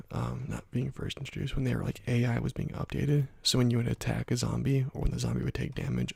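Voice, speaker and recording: A man giving soft-spoken ASMR gaming commentary in a hushed, breathy, partly whispered voice, casual and musing, close to the microphone. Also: medium pitch, dry recording. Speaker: gaming video host.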